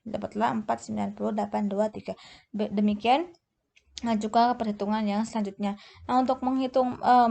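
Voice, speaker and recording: A woman teaching a lesson as a tutor, explaining step by step in a halting way and often correcting herself. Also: medium pitch, vocal fry, darkish tone. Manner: halting, often searches for words and self-corrects. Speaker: accounting tutor. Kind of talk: explanatory lesson walking through tables.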